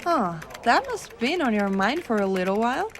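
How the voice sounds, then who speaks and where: A woman playing a gentle, teasing giantess secretary in an ASMR roleplay, coaxing and cooing softly. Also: on the high side, very animated, slight background noise. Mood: gentle, attentive. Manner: soft coaxing, cooing, unhurried.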